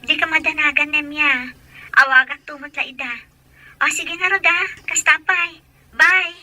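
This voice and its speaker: A woman's voice: sits high, fairly even intonation, moderate pace, bright, forceful tone. A woman playing an apologetic, hardworking wife far from home in an audio drama, speaking earnestly. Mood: reassuring, embarrassed.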